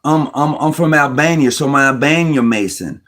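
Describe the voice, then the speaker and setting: A man's voice: a little high, fairly quick, fairly bright tone. A man hosting a casual discussion about Freemasonry, talking emphatically and often restarting his words as he argues. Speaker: host.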